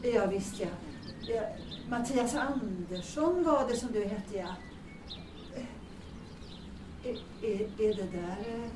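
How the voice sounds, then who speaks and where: A woman's voice: fairly high pitch, lively intonation, lightly acted. A woman playing a chatty, kindly older mother in an audio drama, rambling and repeating her questions. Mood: chatty, flustered. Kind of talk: naturalistic audio drama acting. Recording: echoey, distant, noisy with birds.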